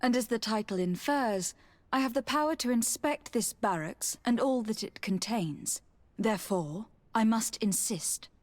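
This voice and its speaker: An actress playing a courteous but insistent noblewoman diplomat in a video game, speaking formally and in a measured way. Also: a little high, animated, a little mellow. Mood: firm, authoritative. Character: poised, authoritative young noblewoman legate, courteous but insistent. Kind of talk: dramatic video game acting.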